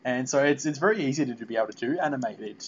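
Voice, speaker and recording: A man hosting a casual podcast interview, talking in a halting, meandering way. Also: mid-range voice, expressive, a little hiss or hum. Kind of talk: casual fan interview chat. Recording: dull, lo-fi podcast audio.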